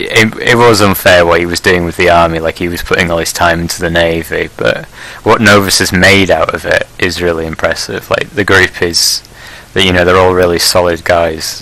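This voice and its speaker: A man with a very low voice and a bright, forceful edge to it. He chats casually on a podcast and rambles through his points with plenty of fillers and restarts. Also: vocal fry, poor audio. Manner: laughs at his own blunt jokes. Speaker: leader of a Prussian group in an online game.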